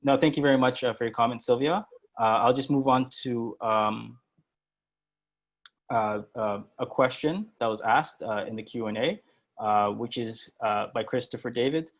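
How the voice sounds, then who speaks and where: Man, gravelly, mid pitch, hesitant, lots of fillers, video call. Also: moderate pace. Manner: hesitant, many fillers and restarts. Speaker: workshop presenter and moderator. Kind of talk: online workshop discussion.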